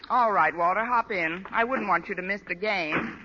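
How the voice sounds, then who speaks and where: A woman's voice: mid-range voice, normal speed, pushed and bright, natural voice. A woman acting a wry, sarcastic and lovelorn schoolteacher with dry asides in an old radio comedy. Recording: noisy, dull old radio recording.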